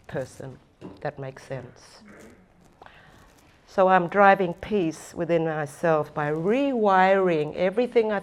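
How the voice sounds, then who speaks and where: A woman giving a slow, earnest, deliberate talk at a conference session, stressing her points by repeating them. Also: deep voice. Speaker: First Nations speaker and former government advisor.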